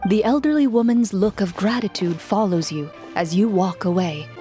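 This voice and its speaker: A woman plays a storytelling game master in a video game, setting out a fantasy scene. The delivery is measured and dramatic at a middling pitch, over soft music. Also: close to an everyday voice. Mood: measured, atmospheric. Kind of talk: scripted narration in a video game.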